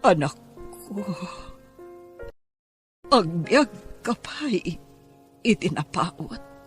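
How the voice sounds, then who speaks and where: A woman's voice: on the low side, very animated, very slow, soft background music, exaggerated voice. A woman with an Ilocano Filipino accent playing an anguished, devout mother pleading melodramatically in a podcast drama.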